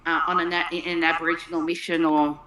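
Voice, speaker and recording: A woman's voice: on the low side, little pitch movement, fast, pushed and bright, rough recording. A woman from Melbourne with an Australian accent, chatting casually and thinking aloud as she explains things. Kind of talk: casual chat.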